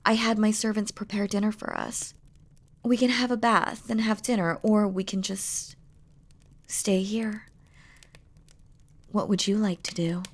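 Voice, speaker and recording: A woman's voice: mid-range voice, fairly even intonation, a little slow, long pauses. A woman playing a doting, playful demon queen in an intimate romantic audio roleplay, speaking tenderly and teasingly in formal, regal phrasing. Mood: flirtatious, doting.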